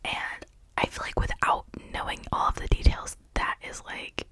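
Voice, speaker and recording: Breathy whispered ASMR ramble. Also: fairly bright tone. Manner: confiding, rambling. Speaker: ASMR video creator.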